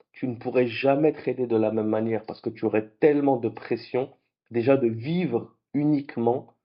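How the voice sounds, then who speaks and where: A man whose first languages are French and Arabic, hosting a late-night trading vlog in a lively, conversational way. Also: mid-range voice, muffled recording. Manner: animated, conversational, with restarts. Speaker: trading channel host.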